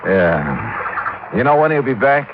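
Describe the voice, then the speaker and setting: A hardboiled American investigator in a golden-age radio drama. He talks in a dry, clipped, questioning way at a medium pitch. The sound is thin and phone-like, with clear background noise. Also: big pitch swings, slow. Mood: dry, probing. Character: cool, probing hardboiled male insurance investigator and narrator. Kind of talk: 1950s hardboiled radio drama acting with narration. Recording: tinny, noisy old radio recording.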